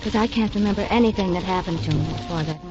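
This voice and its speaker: A woman's voice: on the low side, quick pace, natural voice. An actress playing a lovelorn, wistful young woman in a 1940s radio drama, with a sentimental, earnest delivery. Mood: adoring. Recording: dull vintage radio recording, music behind.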